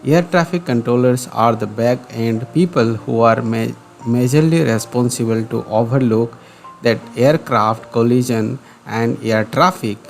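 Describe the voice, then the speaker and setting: A man's voice: medium pitch, creaky. A man with an Indian accent presenting career guidance as a scripted explainer, in a didactic way that repeats key points for emphasis.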